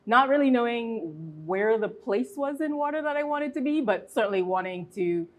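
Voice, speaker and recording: A woman's voice: fairly high pitch, animated, normal speed. Easygoing woman. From Barbados. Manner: easygoing, laughs while telling a story. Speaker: podcast guest, water engineer. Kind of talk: relaxed conversational anecdote.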